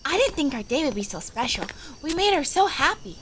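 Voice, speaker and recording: An eager, sweet little girl voiced by a woman in a children's audio story. The voice is extremely high and lively, with childlike enthusiasm. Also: brisk, a little hiss or hum. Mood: happy, sweet. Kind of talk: children's audio drama acting.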